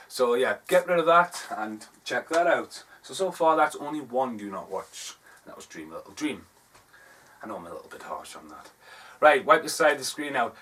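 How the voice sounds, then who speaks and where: A man's voice: mid-range voice, fairly bright tone. Geordie guy rambling about his dvds, bit far off the mic, keeps correcting himself. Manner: rambling, offhand, self-correcting. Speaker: film reviewer. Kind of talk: casual video review, showing DVD covers.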